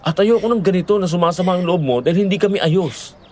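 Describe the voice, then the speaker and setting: A man plays a young Filipino boyfriend in an audio drama. His fairly high voice is earnest and gentle, reasoning patiently and placating like someone responsible. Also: fast. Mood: earnest pleading.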